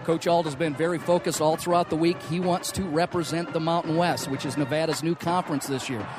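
A male sports sideline reporter with a slightly raised voice, delivering quick game updates and interviews in a brisk, conversational way. Music runs softly under his reports from the stadium. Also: fairly high pitch, steady tone. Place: football stadium sidelines, outdoors. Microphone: handheld microphone with headset.

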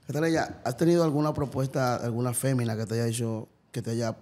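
A man's voice: medium pitch, fairly even intonation, a little fast, a little mellow. Teasing Dominican male talk-show host. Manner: teasing, addresses audience ("atención, señores"). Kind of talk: casual interview talk. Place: podcast studio. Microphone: studio mic on boom arm.

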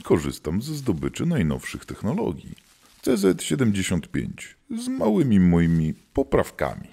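A man playing a world-weary, gruff hunter of demons who keeps the old gods, in a theatrical scripted audio drama. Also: fairly low pitch, wildly swooping pitch, normal speed, warm tone, full character voice. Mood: wry, gruff.

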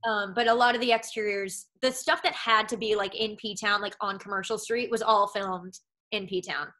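A young woman from Massachusetts speaks with a Boston accent. Her voice is bright and forward. She rambles in easy chat from home, with a steady stream of "like" and "um". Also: mid-range voice, moderate pace. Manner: rambling, heavy use of "like" and "um". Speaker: actress guest. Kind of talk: casual interview chat.

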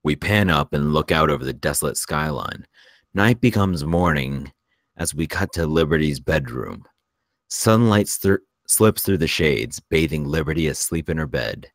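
A man reading screenplay stage directions aloud as a narrator, in measured present-tense narration. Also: a bass voice, lively intonation, dry recording, clean studio sound.

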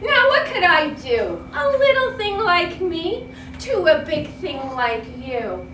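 Woman as a high-voiced cheeky little mouse. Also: big pitch swings, slow pace, pushed and bright, exaggerated voice. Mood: teasing, reassuring. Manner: teasing, exclamatory. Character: little cheeky gray mouse, bold and scolding. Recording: roomy, noisy classroom, distant mic.

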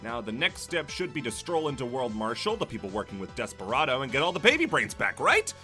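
A man narrates a video game review in a bright, crisp voice that sits a little high. His pitch swings widely as he drops sarcastic jokes between plain explanations. Faint music plays underneath. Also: big pitch swings, fairly quick. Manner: sarcastic, jokey asides.

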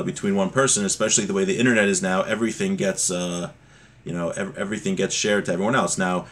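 A middle-aged man giving an informal religious lesson, explaining with examples in a conversational, somewhat halting way. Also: low voice, a bit bright. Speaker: religious teacher. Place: small home room, indoors. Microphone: webcam or laptop mic at desk distance.

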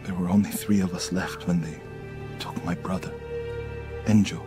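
Guy doing tired grieving warrior monk for a game, deep n halting, respectful, loud-ish music behind. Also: steady tone, lightly acted. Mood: grieving, haunted. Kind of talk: cinematic game drama acting.

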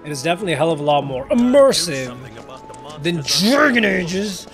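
Young gamer dude riffing over a game, swears a lot, voice jumps all over, game music going. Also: male voice, a little high, normal speed. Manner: animated, joking, swearing, rambling. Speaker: gaming video host. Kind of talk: casual let's play commentary.

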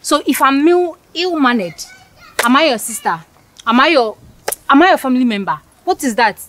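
A haughty young woman with a higher-pitched voice spits contempt and mockery, her temper flaring into shouts, as an actress plays her. A faint hiss sits behind her. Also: animated, measured pace. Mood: outraged. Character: snobbish rude young woman, scornful and quick-tempered. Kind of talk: scripted skit acting.

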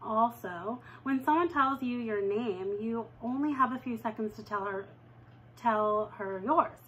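A young woman reading a children's novel aloud at home, with lively jumps in pitch when she reads dialogue. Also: unhurried, breathy edge, muffled recording. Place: living room at home, indoors.

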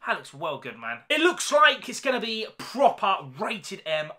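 A man's voice: high voice, moderate pace. A man in his thirties with a British accent, hosting a casual, slangy reaction video to a movie trailer from his home room. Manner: excitable, slangy, addresses viewers directly. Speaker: YouTube reaction host. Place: small home room full of collectibles.

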